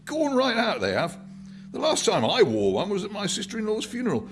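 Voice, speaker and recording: A man's voice: high-pitched, wildly swooping pitch, a little fast, soft background music, pitched above the performer's own voice. A man voicing a garrulous elderly working-class Londoner, reminiscing in colloquial speech in a novel reading. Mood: brightened, musing. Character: rambling old working-class Londoner in a pub.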